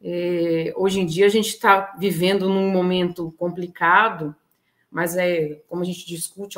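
A middle-aged Brazilian woman giving an informal online lecture, explaining step by step with frequent check-in tags. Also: mid-range voice. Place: indoor room, online talk. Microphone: video call.

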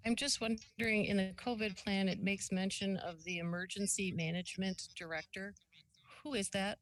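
A woman's voice: mid-range voice, fairly even intonation, normal speed. A woman who serves as a county board supervisor, putting questions at a public meeting.

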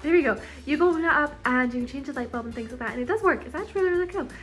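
Young woman vlogger, high bright voice, giggly and chatty, phone at arm's length, faint music under it. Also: rapid-fire. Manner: giggly, bubbly, lots of "like" and "you guys". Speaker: vlogger giving a house tour. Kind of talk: casual chatty vlog. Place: bedroom of a house, indoors. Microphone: phone held at arm's length.

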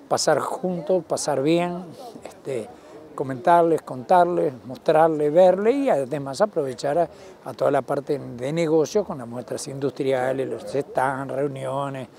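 A man's voice: mid-range voice, gravelly, warm tone. An older man with a Uruguayan accent answering an interviewer outdoors. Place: outdoors at a showground. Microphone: handheld interview microphone.

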